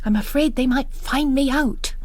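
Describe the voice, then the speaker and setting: A woman's voice: high voice, moderate pace, a little mellow, no room sound, voice pushed up. Woman voicing an agitated, world-weary old male priest. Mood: agitated confiding. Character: agitated ageing male priest who lost his faith.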